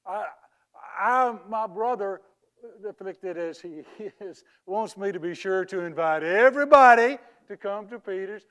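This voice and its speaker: Pompous, slow, high-voiced pious swindler. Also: male voice, fairly bright tone. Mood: solemn, unctuous. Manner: pompous, theatrical, drawn-out oratory. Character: smarmy male con man posing as a pious grieving English uncle. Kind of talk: dramatic acting in a literary comedy.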